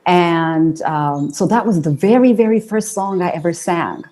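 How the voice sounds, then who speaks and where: Mellow-voiced woman singer telling her story. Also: lowish voice, measured pace. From Ethiopia. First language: Amharic. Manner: storytelling, with restarts and fillers. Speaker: interview guest, singer and speaker. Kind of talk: conversational interview.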